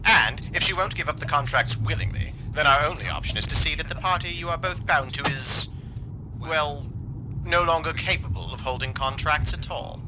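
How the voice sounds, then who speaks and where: An actor playing a haughty, theatrical possessing spirit in an audio drama, speaking in formal, wordy, boastful phrases. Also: male voice, on the high side, normal speed, background noise, natural voice. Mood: grimly matter-of-fact.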